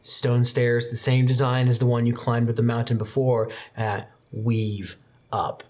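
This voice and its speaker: A game master narrating a tabletop roleplaying game in an improvised, descriptive, halting way. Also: mid-range voice, level tone, a little mellow. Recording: music behind the voice. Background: background music.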